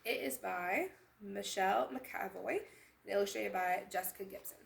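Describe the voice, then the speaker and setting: A woman's voice: medium pitch, moderate pace, vocal fry, slight background noise. A woman hosting a children's storytime as a librarian, enthusiastic, reading a picture book and putting questions to young listeners. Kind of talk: animated picture-book read-aloud for children. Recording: echoey room sound.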